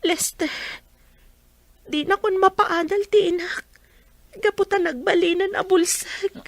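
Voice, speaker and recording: A young daughter in a village radio drama, as a woman acts her, with a very high, earnest voice. She talks in a gently persuasive, pleading way. The sound is close and dry. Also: steady tone, measured pace, dry recording. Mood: downcast. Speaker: daughter of a blinded mother.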